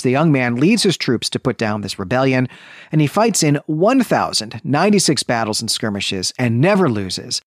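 A man's voice: medium pitch, no room sound, studio quality. A man hosting a podcast, recounting and discussing a story in a conversational way. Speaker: podcast host. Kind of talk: animated story recap and literary discussion.

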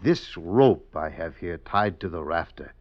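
Coaxing radio-play villain, low voice, slow delivery, folksy, muffled old recording. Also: male voice, expressive. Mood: coaxing, sly. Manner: coaxing, halting, old-fashioned folksy speech. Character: scheming murderous man feigning kindness to a trusting lad. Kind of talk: 1950s radio drama acting.

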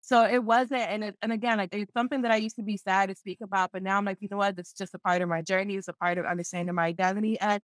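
A woman's voice: mid-range voice, racing, few pauses, dry recording. Young woman from Philly, podcast guest, chatty, lots of "like", dry close sound. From Philadelphia, USA. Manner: animated, laughs often, lots of filler "like". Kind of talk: casual podcast interview.

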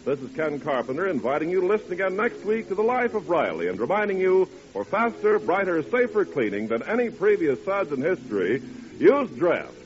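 A man's voice: high voice, very animated, fairly quick. A man announcing on 1940s radio, with polished, emphatic commercial salesmanship. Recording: muffled, hissy 1940s radio recording.

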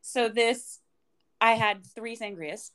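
A young woman co-hosting a casual podcast, her voice raspy and ragged from a hangover, rambling and laughing at herself. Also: fairly high pitch, slow pace, a bit bright. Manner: rambling, laughing, self-mocking. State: hungover and tired. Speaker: podcast co-host, wedding singer. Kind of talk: casual chat.

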